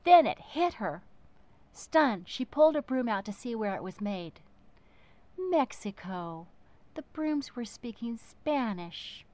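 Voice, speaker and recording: A woman narrating a children's book in an expressive, theatrical way, with dramatic emphasis and pauses. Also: fairly high pitch, no room sound.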